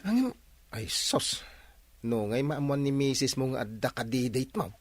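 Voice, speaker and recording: A man's voice: mid-range voice, lively intonation, a little slow, dry recording. A man playing a nosy, joking buddy in an audio drama, with a casual teasing delivery. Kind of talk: Filipino audio drama acting.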